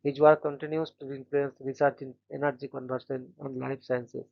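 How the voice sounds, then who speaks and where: A man hosting a daily channel, reading short scripted biographies in a flat, steady way and stumbling now and then on names. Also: mid-range voice, moderate pace, vocal fry, dull audio. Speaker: host of a daily events channel.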